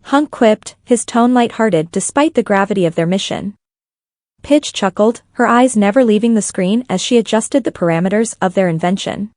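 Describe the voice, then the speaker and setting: A woman's voice: medium pitch, normal speed, a little mellow, dry recording, studio quality. A woman reading scripted fan fiction as a story narrator.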